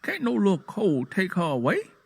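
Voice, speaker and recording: A man's voice: high-pitched, wildly swooping pitch, moderate pace, husky, pitched above the performer's own voice, exaggerated voice. A man playing a proud, defensive, hot-tempered Southern country man in an audio drama, emotional and defiant. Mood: disbelieving.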